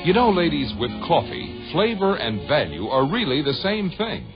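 A man with a husky voice, delivering a 1950s radio advertisement as announcer. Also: a little high, huge pitch swings, normal speed. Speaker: commercial announcer. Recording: dull old radio broadcast, music behind.

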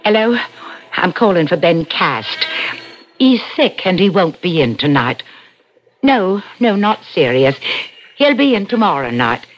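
An actress playing the frightened, pleading working-class wife of a killer in a 1960s radio suspense drama. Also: female voice, fairly low pitch, very animated, unhurried. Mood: anxious. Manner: anxious, imploring delivery. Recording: dull old radio broadcast, faint music.

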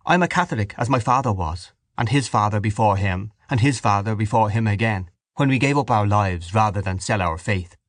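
A man's voice: lowish voice, animated, brisk, hardly any pauses, no room sound, clean studio sound, natural voice. A man voicing a fierce, proud dinner guest in an audiobook novel, indignant and emphatic.

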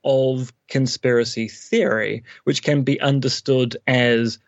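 A man's voice: mid-range voice, fairly even intonation, slow, gravelly, no echo, highs cut off. A man, a philosophy academic, explaining his views at length in an interview with dry humour. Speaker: guest academic, philosophy professor.

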